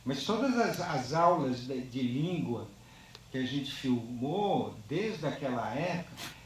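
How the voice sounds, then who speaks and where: A man speaking unscripted on a panel after a film screening. He talks in a halting, reflective way and ends most phrases with a tag question. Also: mid-range voice, animated, a little hiss or hum. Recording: very echoey, distant microphone.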